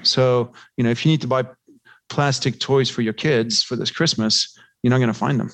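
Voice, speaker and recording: A man's voice: mid-range voice, steady tone, moderate pace, fairly bright tone, dry recording. A man giving conversational financial commentary as an interview guest, talking discursively and posing rhetorical questions. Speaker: podcast guest, investment research analyst.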